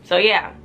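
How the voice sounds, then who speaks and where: A woman's voice: high voice, pushed and bright, slightly rough. A young woman hosting her own channel, talking casually with a stuffy nose and repeating words for emphasis. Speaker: channel host. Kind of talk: casual vlog chat.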